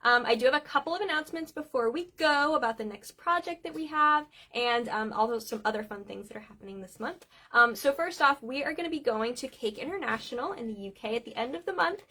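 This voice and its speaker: A woman's voice: high-pitched, expressive, fast talker, hardly any pauses, bright, forceful tone. A woman hosting a cake decorating livestream, chatting casually with lots of ums while she is losing her voice. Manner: chatty, many ums, reads out viewer comments.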